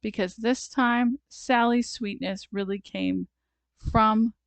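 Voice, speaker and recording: A woman reads a children's story aloud in an expressive, deliberate way. She takes her time, speaking very slowly. The recording is dry and close, with no room sound. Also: a little high, dry recording. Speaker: storybook reader.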